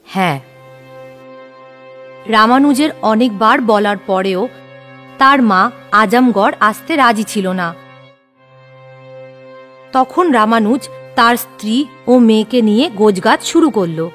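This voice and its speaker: A woman's voice: a little high, lively intonation, slow, long pauses, fairly bright tone, soft background music. A woman narrating a scripted horror story.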